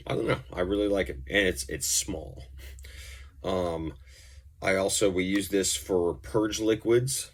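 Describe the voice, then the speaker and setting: A man giving a casual, off-the-cuff effects pedal demonstration as a musician, talking in an informal, rambling way. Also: low-pitched. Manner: informal, rambling, mildly profane.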